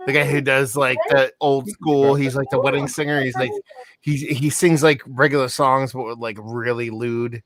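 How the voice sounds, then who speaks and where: A man chatting with friends about beer on a video call, cursing freely and animated as he tells a story. His audio is dry and close. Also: mid-range voice, normal speed, no echo. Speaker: beer channel host. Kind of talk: casual chat over beers.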